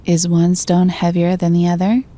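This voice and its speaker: A woman gently guiding a meditation, giving slow, soothing instructions. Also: lowish voice, completely flat delivery. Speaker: meditation guide. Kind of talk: guided meditation.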